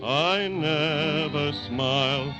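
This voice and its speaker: A man's voice: fairly high pitch, very slow. An American man playing a mournful, grumbling whale, singing a comic operatic lament in 1930s radio style. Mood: doleful. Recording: dull old radio recording, music behind.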